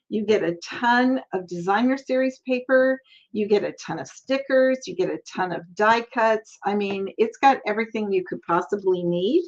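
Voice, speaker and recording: An older woman talks through a crafting project in a chatty, friendly way, laughing often. Her voice sits at a middle pitch. The recording sounds a little dull and lo-fi, picked up by a camera mic at her craft table. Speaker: crafting channel host. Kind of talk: casual crafting tutorial.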